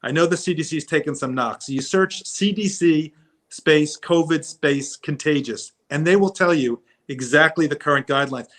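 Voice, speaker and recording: A man's voice: fairly high pitch, moderate pace, fairly bright tone. A man, a doctor, giving earnest advice with some hesitation on a call from a handheld phone. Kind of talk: casual advice on a video call. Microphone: phone held in hand.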